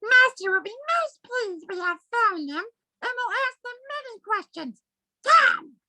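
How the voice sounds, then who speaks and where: Bossy alpha dog, super squeaky high voice, menacing cartoon villain, talks stiff and formal. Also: female voice, animated, a little slow, bright, forceful tone, no room sound, clean studio sound, exaggerated voice. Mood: commanding. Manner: commanding, stilted formal phrasing. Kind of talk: amateur table read of an animated film script.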